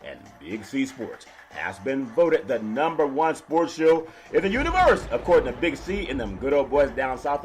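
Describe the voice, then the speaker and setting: A man with a raspy, half-lost voice hosting a casual sports talk show, laughing often and talking slowly. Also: medium pitch, a little hiss or hum. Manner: slow and laughing, keeps calling his co-host's name. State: hoarse, partly lost voice. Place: West Coast radio studio.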